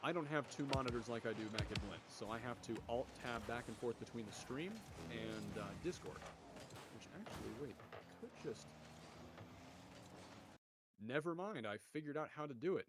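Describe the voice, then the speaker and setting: A young man with a deep voice streaming esports commentary from home, chatting with viewers and calling plays. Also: animated, brisk. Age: young adult. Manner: rambling, chatty, restarts often, bursts louder on goals. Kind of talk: casual solo game commentary and chat reading. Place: home room.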